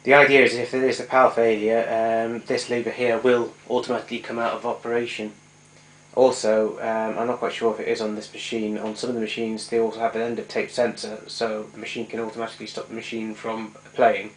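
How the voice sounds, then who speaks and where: A man's voice: lowish voice, completely flat delivery, brisk. A man giving an informal, hands-on equipment demonstration as a hobbyist, explaining hesitantly and often correcting himself. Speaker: vintage tape recorder hobbyist demonstrating his machine. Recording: clearly noisy recording with clicks.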